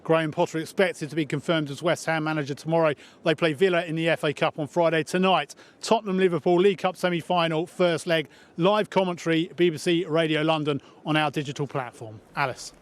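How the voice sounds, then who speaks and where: A man presenting the sports news on a broadcast bulletin in a brisk, matter-of-fact way. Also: fairly high pitch, fairly even intonation.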